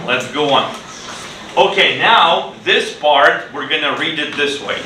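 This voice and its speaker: A man's voice: a little high, unhurried. A middle-aged man teaching an English class, giving short prompts and repeating phrases for learners to echo. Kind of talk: classroom ESL lesson, questioning students. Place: classroom, standing at the board. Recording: echoey noisy classroom, distant mic.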